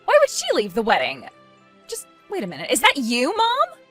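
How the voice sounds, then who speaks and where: A spoiled young bride in a dramatized family story, acted out by a woman. Her voice is high and swings widely as she whines and pleads petulantly, with music playing underneath. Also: wildly swooping pitch, normal speed, fairly bright tone, heavily acted. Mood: indignant. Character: spoiled, whiny, self-centred young bride, pleading with mother.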